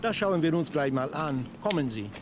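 A brusque Italian policeman played by a man barks suspicious commands in a radio drama. The voice is high and animated, with clear outdoor noise around it. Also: normal speed, lightly acted.

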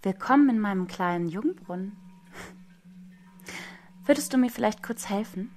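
A woman's voice: mid-range voice, lively intonation, moderate pace, darkish tone, soft background music. A woman in her forties giving a staged, playful performance, teasing and at times dropping to a whisper. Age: 40s.

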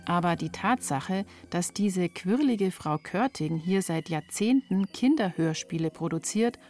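A woman's voice: lowish voice, lively intonation, measured pace, darkish tone, soft background music. A woman reporting, reading scripted narration for a radio feature.

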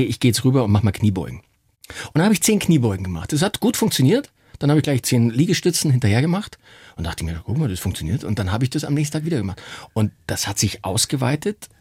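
A man's voice: medium pitch, a little mellow, slightly rough, no room sound, studio quality. A man in his fifties, chatting casually as a guest, laughing often and poking fun at himself. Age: 50s. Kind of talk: casual chat.

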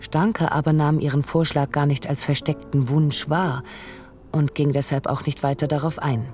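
A narrator in a radio drama, reading literary prose in a formal, measured, analytical way. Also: medium pitch, level tone, darkish tone, close to an everyday voice. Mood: detached, analytical. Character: detached, analytical narrator of a relationship's decline. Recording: faint music behind.

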